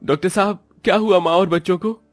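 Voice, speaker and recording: A man's voice: sits high, steady tone, quick pace, no echo, faint music behind, lightly acted. A man playing an anxious working-class village father with an earnest, questioning manner in a cartoon story.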